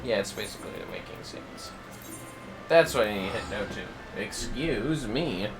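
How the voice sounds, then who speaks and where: A man's voice: lively intonation, moderate pace, music in the background, poor audio. A man livestreaming a game, muttering casual, wry, offhand commentary to himself. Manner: low-key, wry, offhand.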